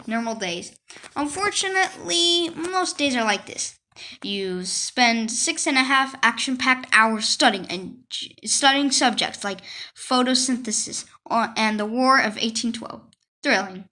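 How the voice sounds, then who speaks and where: A woman reads a children's story aloud in a high, bright voice. Her delivery is expressive and conversational, as if the story were her own, with asides along the way. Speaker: reader of a children's book. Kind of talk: expressive read-aloud narration.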